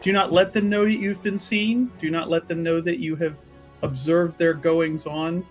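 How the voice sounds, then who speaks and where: A man voicing a businesslike woman who leads a sisterhood in a tabletop game, giving formal, measured instructions. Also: a little high, steady tone, soft background music, lightly acted.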